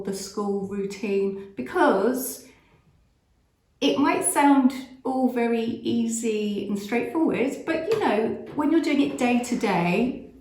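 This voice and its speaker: A woman's voice: medium pitch, darkish tone. A British woman with an English accent hosting a casual chat, rambling with plenty of fillers. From Britain. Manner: chatty, rambling with many fillers. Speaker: host. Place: at home, outdoors in summer. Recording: echoey room.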